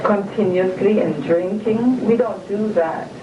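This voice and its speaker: A woman answering interview questions in a calm, earnest way, speaking about family and faith. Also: mid-range voice, unhurried, muffled recording. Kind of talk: videotaped personal statement. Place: room inside a residential compound building. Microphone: camcorder microphone some distance away. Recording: distant, echoey, hissy old videotape.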